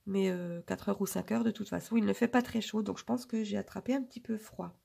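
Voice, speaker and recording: A woman with a hoarse, husky voice from a cold, chatting casually and rambling to her viewers with many pauses. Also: mid-range voice, fairly even intonation, mellow. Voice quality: gravelly. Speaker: budgeting vlogger.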